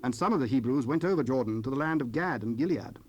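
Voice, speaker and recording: A man's voice: mid-range voice, lively intonation, fast talker, darkish tone. Solemn male scripture narrator, faint tape noise. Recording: faintly noisy cassette rip.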